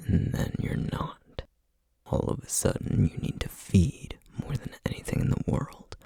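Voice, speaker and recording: A man playing a menacing, distrustful feral essence eater in an ASMR roleplay, speaking in a breathy, husky near-whisper with slow, threatening deliberation. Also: lowish voice, warm tone, dry recording, studio quality, full character voice. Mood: tense, brooding. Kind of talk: ASMR roleplay audio drama.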